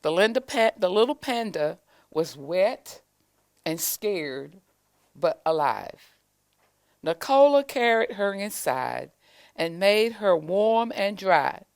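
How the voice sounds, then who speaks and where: A woman reads a picture book aloud for children. Her pace is slow and deliberate, her phrasing expressive, and her mid-pitched voice has a noticeable creak. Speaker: school staff member reading aloud to children. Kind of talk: children's storybook read-aloud.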